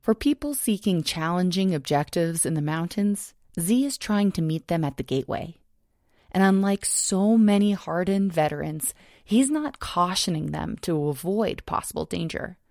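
A woman producer giving measured, scripted podcast narration. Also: on the low side, animated, vocal fry, darkish tone, dry recording, studio quality. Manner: measured, descriptive.

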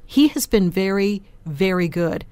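A woman with a lower voice than average, speaking emphatically and articulately as a panelist on a radio talk show. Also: lively intonation, a little slow, mellow, smooth, no room sound. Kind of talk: opinionated panel discussion.

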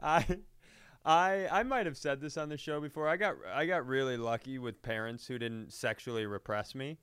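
A man's voice: mid-range voice, normal speed, fairly bright tone, clean tone, dry recording. A man co-hosting a comedy podcast, chatting casually with crude, confessional jokes.

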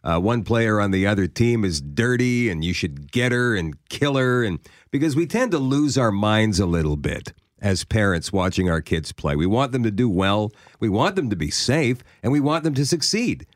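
A man's voice: fairly low pitch, lively intonation, normal speed, no echo, studio quality. A Canadian man hosting a casual talk show, setting up scenarios and quizzing his co-hosts. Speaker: host. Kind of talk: casual radio chat.

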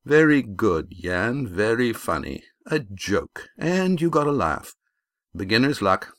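A man voicing a slim, quick-witted village youth in a storybook, dry, sarcastic and unruffled. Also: fairly low pitch, sing-song, no room sound, full character voice. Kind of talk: storybook character voice.

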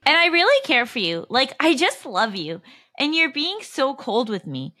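Obsessively lovesick female texter, read by a woman: high, bright, dramatic, clingy, dry close sound. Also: very animated, no room sound, full character voice. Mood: lovesick pleading. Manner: dramatic monologue with drawn-out, list-like delivery.

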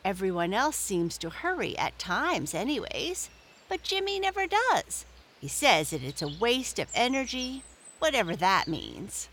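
A woman voicing an excitable young male rabbit in a storybook reading. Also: mid-range voice, unhurried, slight background noise, voice pushed up. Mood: eager.

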